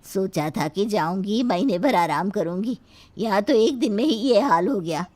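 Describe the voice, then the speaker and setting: A woman's voice: medium pitch, expressive, normal speed, darkish tone, natural voice. A woman playing a put-upon, grumbling middle-aged aunt in a moral story. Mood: indignant. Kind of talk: scripted Hindi moral story acting.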